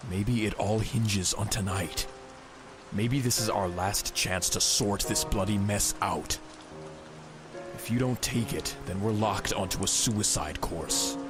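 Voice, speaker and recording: A man voicing a grim, weary masked vigilante in a comic dub, speaking in a measured, stern, earnest way. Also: lowish voice, music in the background. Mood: grave appeal. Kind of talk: dramatic comic dub voice acting.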